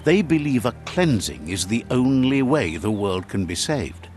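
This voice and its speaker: A man giving measured, dramatic storybook narration for a game. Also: medium pitch, very animated, darkish tone, soft background music.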